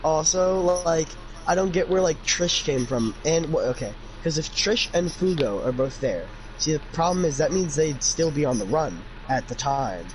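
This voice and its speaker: Guy reading fanfic out loud, chatty and opinionated, noisy recording. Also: a little high, expressive, normal speed, few pauses. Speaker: fanfiction reader and commentator. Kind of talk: casual amateur read-aloud with chatty commentary.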